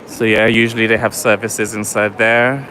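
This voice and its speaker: A man's voice: on the low side, little pitch movement, normal speed. Chatty man streaming at night. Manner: chatty, wry, talks to live chat. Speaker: livestream vlogger. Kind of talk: walking livestream commentary. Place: city streets at night, outdoors. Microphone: handheld phone or camera mic while walking. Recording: loud street noise behind. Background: traffic and street ambience.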